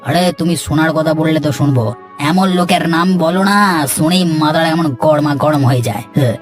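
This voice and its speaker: A man's voice: a little high, a little fast, music in the background, lightly acted. A man voicing an idle, carefree, argumentative village son with a grumbling, dismissive manner in a cartoon. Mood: grumbling, defiant. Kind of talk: Bengali cartoon dialogue.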